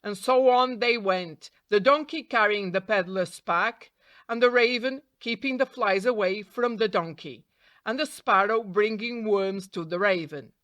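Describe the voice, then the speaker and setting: A woman's voice: medium pitch, no echo. Woman reading a fairytale, dry close sound. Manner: expressive, deliberate storybook reading. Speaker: storyteller. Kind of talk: scripted fairytale narration for English learners.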